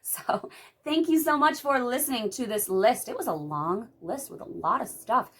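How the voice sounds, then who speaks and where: A woman in her thirties, hoarse from a cold, reading out writing questions and answering them in casual chat. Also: fairly high pitch, expressive, normal speed. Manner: chatty, reads out questions then answers them. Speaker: writing vlogger. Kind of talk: casual talk-through of a tag video.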